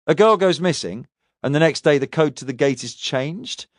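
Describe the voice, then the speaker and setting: A man performs a male police detective in an audiobook interrogation. His pitch sits on the high side and swings widely as he needles and taunts. The sound is very dry. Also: lively intonation, moderate pace, dry recording, natural voice. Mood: needling. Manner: probing, taunting.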